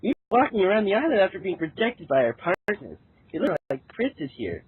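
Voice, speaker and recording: Husky guy, super swoopy voice, reading a part casually, dry tinny call audio. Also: high voice, very animated, brisk, no room sound. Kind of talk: amateur character reading with casual reactions.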